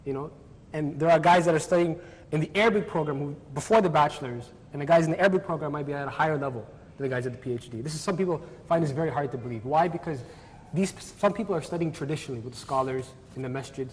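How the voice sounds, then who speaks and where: Fast-talking man on a discussion panel. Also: on the high side, long reverb tail, a little hiss or hum. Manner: fast, animated, draws others in with tag questions.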